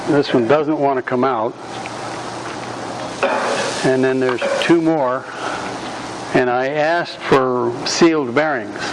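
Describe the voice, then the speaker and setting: A man giving a live workshop demonstration in a club meeting room, projecting his voice loudly and rambling along with frequent ums. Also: mid-range voice, takes it slowly, long pauses. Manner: loud, projecting without a speaker system. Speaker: woodturning demonstrator. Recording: noisy room recording.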